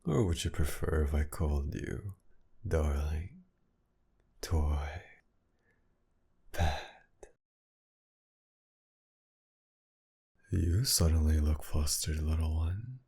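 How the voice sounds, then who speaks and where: A very deep, gravelly villain, acted by a man, speaks slowly and teasingly in an intimate ASMR roleplay. He is possessive and sadistic, flirting as he threatens, with a husky breathiness that often sinks toward a whisper. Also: expressive, dark tone, heavily acted. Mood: flirtatious taunting. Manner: teasing, taunting, slow drawl with breathy whispers and sighs.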